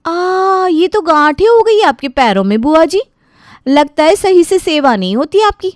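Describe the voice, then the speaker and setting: A woman voicing a cheeky, chatty young bride in an animated family story, teasing and playful. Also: very high voice, unhurried, dry recording, studio quality, full character voice. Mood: sweet, caring. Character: cheeky, chatty young bride who fusses over her in-laws.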